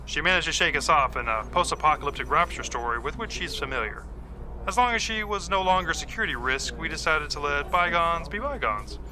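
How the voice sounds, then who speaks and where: A man's voice: on the high side, lively intonation, fairly quick, sharp tone, a little hiss or hum. A man playing a stuffy, formal agent who briefs in dry, precise language in an audio drama, with music behind.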